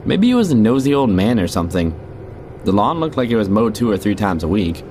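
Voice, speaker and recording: Jaded male rideshare driver, played by a man, audio drama narrator, wry and conversational, lowish lively delivery over clear street noise. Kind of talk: first-person scripted audio drama narration. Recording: noisy, car and street ambience behind.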